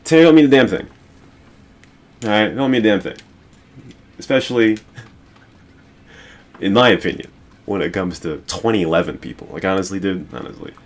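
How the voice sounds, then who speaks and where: Deep-voiced man ranting profanely. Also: moderate pace, pauses often. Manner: rambling, profane, emphatic. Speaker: host. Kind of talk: casual podcast rant.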